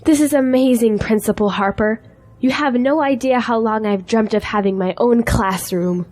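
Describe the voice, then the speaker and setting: A woman's voice: a little high, moderate pace, a little mellow, close to an everyday voice. A woman playing an eager, idealistic young schoolteacher in an audio drama, with an earnest, polite way of talking. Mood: excited, delighted.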